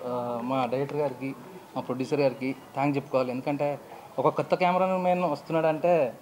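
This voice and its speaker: A man's voice: mid-range voice, a little mellow, poor audio. Man, Telugu accent, mid-range voice, informal thank-you speech, slight noise on event microphone. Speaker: new cinematographer speaking at a press event.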